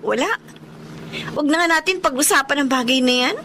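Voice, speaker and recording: Very high, insecure Filipino mistress. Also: female voice, fast, noisy background. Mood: sulky, doubting. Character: insecure, anxious young mistress. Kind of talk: Filipino radio drama acting.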